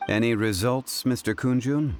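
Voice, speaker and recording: An actor playing a composed, wise, dignified man in a video game, with measured, formal and calm speech. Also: medium pitch, lightly acted. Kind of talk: scripted video game dialogue.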